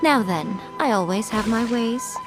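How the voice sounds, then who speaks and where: A woman's voice: on the high side, measured pace, close to an everyday voice. Game music plays behind a woman acting as a self-assured fox spirit in a shrine maiden's role. She talks with coy, arch amusement and takes her time, like someone who enjoys teasing. It is polished video game character acting. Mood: composed, explanatory.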